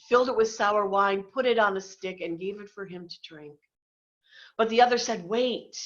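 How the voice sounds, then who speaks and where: A woman's voice: fairly low pitch, fairly bright tone, highs cut off. A woman pastor giving a solemn, theatrical dramatic reading of scripture over a video call. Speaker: Lutheran pastor.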